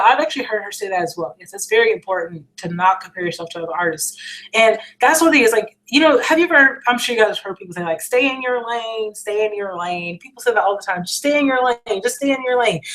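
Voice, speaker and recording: American woman chatting earnestly, informal and slightly scratchy. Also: mid-range voice. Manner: informal, earnest, emphatic with y'all and repetition. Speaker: musician hosting a livestream question and answer session. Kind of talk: casual encouraging chat, reading out viewer questions.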